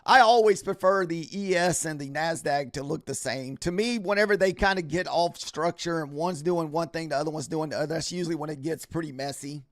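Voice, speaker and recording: A man's voice: on the high side, fairly quick, few pauses, dry recording, clean studio sound. A man hosting a live trading stream, chatting casually with viewers in a rambling way full of fillers and restarts, his voice a bit scratchy from a week of talking. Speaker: livestream trading host.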